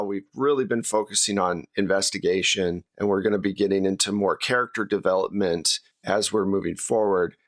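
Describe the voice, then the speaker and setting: Male host and game master, lower register, close dry sound, conversational delivery. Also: fairly bright tone, dry recording. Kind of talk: casual round-table discussion.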